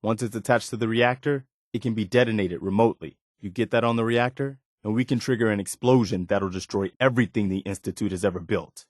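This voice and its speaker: A man acting as a dutiful militia officer in a video game. His voice is on the low side and recorded dry and close. He speaks plainly and earnestly, like a loyal idealist who believes in the cause. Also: no echo, close to an everyday voice. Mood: wary, determined. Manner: earnest, measured, plain-spoken. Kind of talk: video game character dialogue.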